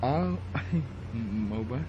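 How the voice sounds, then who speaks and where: A man's voice: medium pitch, animated, takes it slowly, lo-fi sound, background noise. An actor playing a shy, friendly young man in a radio drama, with a Filipino accent. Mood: sheepish. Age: young adult. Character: friendly, shy young man courting, telling his life story.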